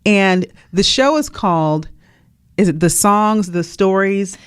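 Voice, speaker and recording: A woman's voice: mid-range voice, expressive, takes it slowly, smooth, no room sound, studio quality. A woman radio host interviewing a guest conversationally in an effusive, affirming manner. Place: radio studio.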